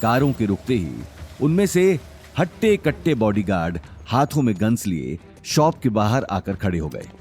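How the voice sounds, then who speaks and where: A man's voice: on the low side, sing-song, moderate pace, darkish tone, faint music behind. A man narrating a scripted audio drama in a dramatic storytelling style.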